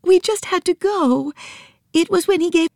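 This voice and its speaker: A woman voicing Nancy, an anxious, caring young girl with a high, breathless voice. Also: moderate pace, a little mellow, dry recording, studio quality. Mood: tearful, urgent.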